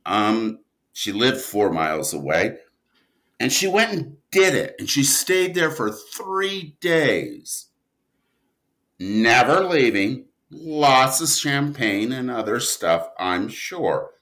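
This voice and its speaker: A man speaking as an interview guest, a writer telling stories conversationally in an animated but halting manner. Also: mid-range voice. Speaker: guest, audio drama writer and producer.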